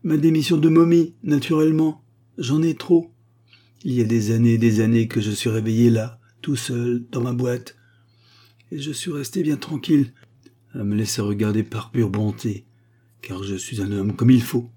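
A man's voice: medium pitch, normal speed, dark tone, slightly rough, pitched above the performer's own voice, lightly acted. A man voicing an irritable ancient Egyptian mummy who scolds and insults in a raised voice. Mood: irritated. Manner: scornful, peevish scolding.

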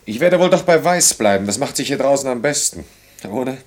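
An actor in a German radio play, playing a brooding engineer who speaks reflectively in halting, short phrases. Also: male voice, mid-range voice, lively intonation, natural voice. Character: brooding engineer haunted by wartime childhood and lost friends.

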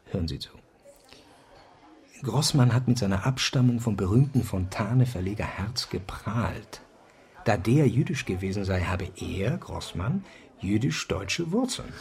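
A dominant, self-assured man of learning in a radio drama. His mid-pitched voice is deliberate and emphatic as he explains and lectures insistently. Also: vocal fry, a little mellow. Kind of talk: German radio drama dialogue.

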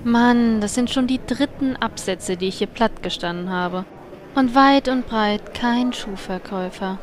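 Casting: actress as fashion-minded female complainer, higher voice, radio-play comedy. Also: a little slow, lightly acted. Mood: annoyed. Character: vain, grumbling fashion-minded female adventurer. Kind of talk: comedy audio drama acting.

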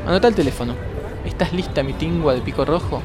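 Radio drama role: tender, poetic man on the road, played by an actor, higher-pitched, brisk, music underneath. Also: lightly acted. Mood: tender, concerned. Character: affectionate, poetic travelling man missing his beloved. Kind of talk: radio drama acting, intimate conversation. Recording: remote recording over outdoor ambience and music.

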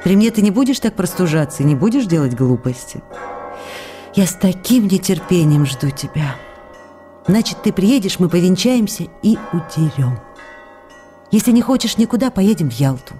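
An actress playing an affectionate, eager woman writing to her beloved in a radio drama, intimate and gentle. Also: lowish voice, lively intonation, normal speed, soft background music, lightly acted. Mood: tender, longing. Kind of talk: dramatised letter reading for radio.

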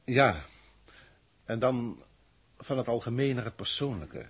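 An actor in a 1960s science-fiction radio drama playing a formal, grandiloquent alien proctor who speaks deliberately and pompously. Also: male voice, medium pitch, expressive, breathy edge. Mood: lofty, instructive. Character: authoritative, grandiloquent alien proctor from a galactic federation.

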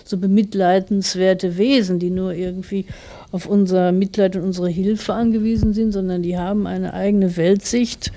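Mellow-voiced, thoughtful middle-aged woman. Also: mid-range voice, fairly even intonation, moderate pace. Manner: thoughtful, explaining in long winding sentences. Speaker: interviewed writer and theologian. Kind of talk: reflective interview talk.

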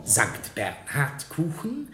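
Ceremonious, self-important monk, radio drama: slow, swooping delivery with relish, echoey crowded hall. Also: male voice, mid-range voice, huge pitch swings, crowd noise. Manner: deliberate, ceremonious, savouring each item. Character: monk announcing a feast menu with pompous relish.

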